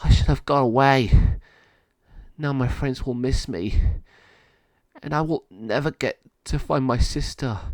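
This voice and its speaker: Guy doing a sad scared shepherd dog for a kids story, pleading and distressed, higher pitched. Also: unhurried, a little mellow, no room sound, natural voice. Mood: anguished. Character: frightened, lonely male shepherd dog in a pound.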